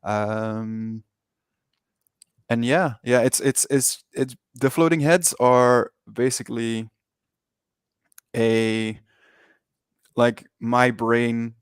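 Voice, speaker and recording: A man from Belgium with Dutch as his first language and a Dutch-speaking Belgian accent, chatting casually as an interview guest in a hesitant, halting way. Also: medium pitch, steady tone, clear, resonant tone, dry recording, studio quality. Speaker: interview guest, digital artist.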